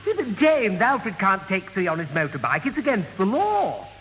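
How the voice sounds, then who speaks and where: An actor playing a fussy, polite but easily exasperated gentleman in a radio sitcom. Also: high-pitched, wildly swooping pitch, normal speed, heavily acted. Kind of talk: 1970 British radio sitcom acting. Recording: muffled, hissy old radio recording, reverberant.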